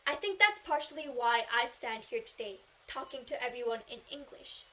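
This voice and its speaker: A woman's voice: a little high, animated, moderate pace, pushed and bright. A Korean teenage girl, a native Korean speaker, delivering an earnest memorized speech in English at a student contest, stressing key phrases. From South Korea. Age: teens.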